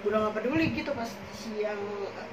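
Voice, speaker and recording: A hoarse young woman talks casually and frankly, wandering through her story with plenty of fillers. She sits some distance from the mic, so her voice comes back off the walls of an echoey room. A steady noise runs underneath her words. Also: mid-range voice, brisk. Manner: rambling, full of fillers, chatty and frank. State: hoarse, coughing. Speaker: podcast co-host telling her own experiences. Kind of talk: casual chat in Jakarta slang.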